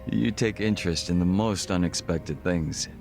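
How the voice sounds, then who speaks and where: A man voicing a refined, reserved gangster in a video game cutscene, speaking in formal, measured, polite phrases. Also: low-pitched, gravelly, music in the background. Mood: courteous, calm. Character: refined, reserved Korean gangster, a former body double.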